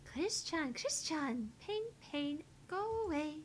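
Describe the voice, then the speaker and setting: A very high, bubbly little voice for a sweet teenage girl in a visual novel game. She is naive and cutesy, with a lilting sing-song delivery that swoops around. A little noise is audible. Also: lively intonation, slow pace, poor audio.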